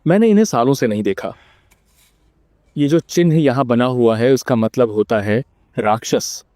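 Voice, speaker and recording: An actor plays a gentle middle-aged man, a mentor figure in a game. His voice is dark and soft-edged, closely and dryly recorded, with a measured, polite, sincere delivery. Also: medium pitch, dry recording, studio quality, lightly acted. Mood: curious, reflective. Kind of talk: Hindi-dubbed video game dialogue.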